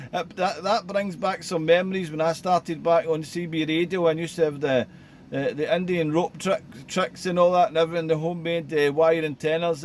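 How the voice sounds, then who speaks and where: A man's voice: a little high, slight background noise. A man from Glasgow chatting casually on a home radio station by the sea, friendly, with many filler sounds. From Scotland. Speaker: radio amateur.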